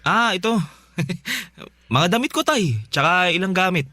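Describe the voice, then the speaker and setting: A man's voice: high-pitched, very animated, measured pace, bright, forceful tone, no echo, clean studio sound, full character voice. A man playing a devoted, insistent youngest son talking earnestly to his father in a Filipino radio drama. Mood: cheerful, insistent. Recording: music playing behind.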